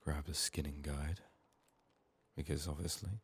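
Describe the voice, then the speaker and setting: A soft-spoken man with a sick, strained voice, muttering downbeat, sweary ASMR commentary as he plays a video game. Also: a bass voice, flat delivery, a little slow, husky.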